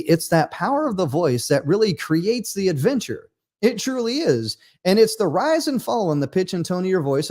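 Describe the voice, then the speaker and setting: Animated, earnest motivational host. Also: male voice, on the high side. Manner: earnest, repetitive, emphatic. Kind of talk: motivational livestream talk.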